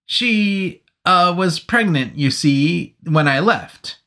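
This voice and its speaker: Brief: man putting on a jittery, stammering small soldier, pitched high and halting, slow to get words out. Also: expressive, bright, forceful tone, heavily acted. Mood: nervous stammering. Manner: stammering, hesitant, pleading. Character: twitchy, nervous, underfed low-ranking male soldier.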